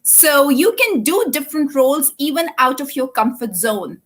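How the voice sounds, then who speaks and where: A woman's voice: high-pitched, sing-song, moderate pace, a bit bright. A woman hosting a casual interview, encouraging her guest with frequent short replies. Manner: encouraging, frequent yes and mm-hmm. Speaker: host.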